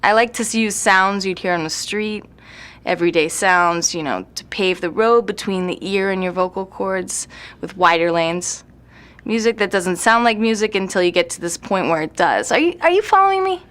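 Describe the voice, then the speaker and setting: A woman's voice: medium pitch, expressive, normal speed, fairly bright tone, natural voice. A woman playing a jaded, self-deprecating night-owl composer in an audio drama, rambling bluntly with sardonic, slangy swearing. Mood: opinionated, self-deprecating. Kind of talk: naturalistic audio drama interview acting. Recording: noisy room recording.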